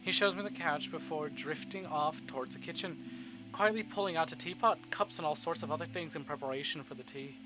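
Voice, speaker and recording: Higher-pitched male streamer, theatrical read-aloud, playful, slightly dull sound, faint guitar music behind. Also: a little fast. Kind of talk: dramatic read-aloud of a visual novel with casual asides.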